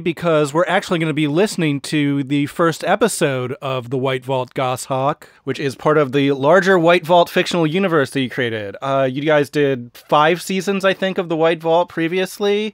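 A man's voice: a little high, clear, resonant tone, no echo, studio quality. A man hosting a podcast, interviewing guests in a conversational way.

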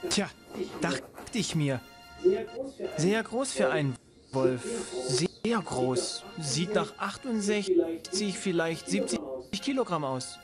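A man's voice: a little high, expressive. An actor playing a hesitant, meticulous lab scientist in his thirties, searching for his words in video game dialogue. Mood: studious. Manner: halting, deliberating, searching for words. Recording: loud music behind the voice. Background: loud game music.

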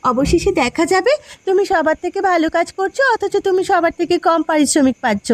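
A woman's voice: very high-pitched, fairly quick, no room sound. A woman voicing a proud, protective village housewife in a cartoon, nagging and emphatic as she speaks. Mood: indignant. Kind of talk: cartoon dialogue.

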